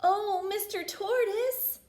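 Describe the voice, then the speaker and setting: Woman voicing an earnest, high-pitched young rattlesnake. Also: slow pace, a little mellow, reverberant. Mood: plaintive, curious. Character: eager, naive young male rattlesnake. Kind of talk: folksy Western storybook character.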